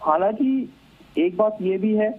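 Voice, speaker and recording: Male Indian correspondent, slightly high-pitched, measured and halting analysis, heard through a thin telephone connection. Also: animated. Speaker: news correspondent. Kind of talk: live phone report, analytical commentary. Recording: narrow, tinny phone line.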